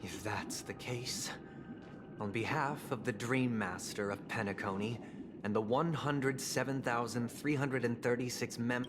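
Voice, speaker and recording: A man's voice: fairly low pitch, background noise, natural voice. A man playing a composed, grandiose villain in a video game, speaking in formal, theatrical oratory. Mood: courtly, solemn. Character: grandiose, composed zealot villain, man preaching an ordered paradise. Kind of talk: video game cutscene acting. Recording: orchestral music behind the voice.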